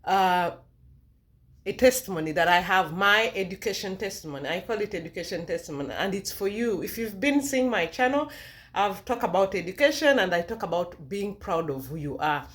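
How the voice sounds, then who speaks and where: A woman with a Ugandan accent speaks directly to her viewers as a vlogger. She tells a personal testimony at an average pitch, leaning on key phrases and repeating them for emphasis. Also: animated, normal speed.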